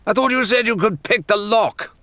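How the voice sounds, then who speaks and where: A man's voice: sits high, moderate pace, pushed and bright, no room sound, pitched above the performer's own voice. A man voicing an agitated, impatient male pirate lookout in an audiobook, urgent and nagging. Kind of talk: audiobook character dialogue.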